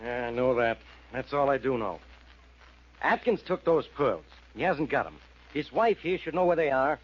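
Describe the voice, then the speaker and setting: An actor playing a gruff, exasperated police inspector in 1940s radio style, blunt and commanding. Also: male voice, medium pitch, expressive, moderate pace. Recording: dull old radio recording.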